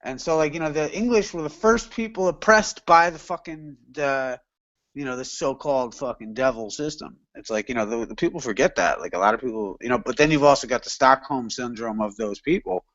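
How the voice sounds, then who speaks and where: A man's voice: medium pitch, fairly quick, a bit bright, dry recording. Rambling, profane man on a dull line. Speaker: panelist in an open online hangout. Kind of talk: casual chat.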